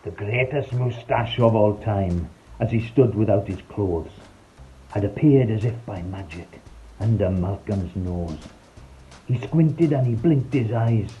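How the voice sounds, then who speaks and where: A man's voice: fairly low pitch, unhurried, dull audio. A man reading a scripted, rhyming children's story in a playful, theatrical storytelling manner. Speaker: storyteller, narrator. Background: background music.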